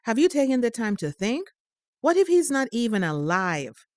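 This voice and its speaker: A woman's voice: on the high side, big pitch swings, moderate pace, dry recording. Firm, protective mother, dry sound. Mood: stern, worried. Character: firm, protective, teasing mother of a teenage boy. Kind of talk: naturalistic audio drama dialogue.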